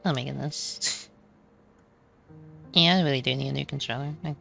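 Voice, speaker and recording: A woman doing casual gameplay commentary, rambling and halting as she repeats words. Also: rumbling low, creaky, fairly bright tone, soft background music. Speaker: video game streamer.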